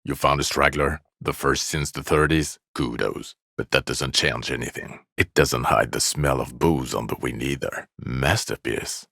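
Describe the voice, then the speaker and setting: A jaded male cop from a video game, with an extremely low, gravel-edged voice. He is sarcastic and irritable, delivering his lines in a weary, scornful deadpan. The sound is close and dry. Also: measured pace, hardly any pauses, dry recording, exaggerated voice. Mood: weary, irritated.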